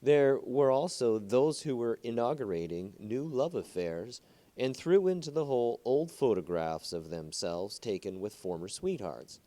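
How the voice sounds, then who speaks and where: A man narrating a short story as an amateur reader, in measured, deliberate storytelling phrases. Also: medium pitch, expressive, warm tone.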